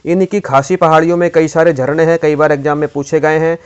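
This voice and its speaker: A man's voice: fairly high pitch, fairly even intonation, fairly quick, smooth, muffled recording. A man teaching an exam-prep geography lesson over a map, repeating key facts and quizzing the viewer.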